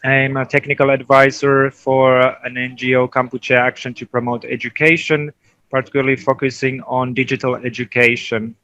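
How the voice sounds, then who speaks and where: A man's voice: medium pitch, flat delivery, normal speed, pushed and bright, no room sound, highs cut off. A man from Finland speaking on a conference panel as a technical advisor, hesitant with many fillers and restarts.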